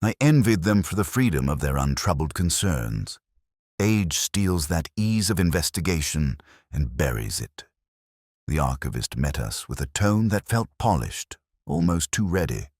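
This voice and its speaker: Male narrator, very low bass voice, detective audio drama, literary and brooding, expressive intonation, dry studio sound. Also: a little slow, no room sound.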